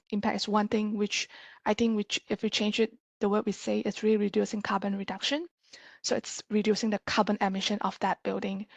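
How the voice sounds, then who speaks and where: Malaysian woman lecturing casually, slightly creaky voice. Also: medium pitch, dry recording. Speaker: guest lecturer, sustainability consultant.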